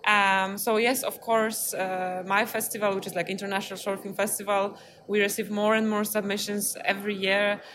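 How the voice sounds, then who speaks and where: A woman's voice: medium pitch, level tone, moderate pace. Polish-accented woman over noisy ambience. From Poland. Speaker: interview guest, film festival programmer. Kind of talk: conversational interview answers. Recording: clearly noisy background ambience.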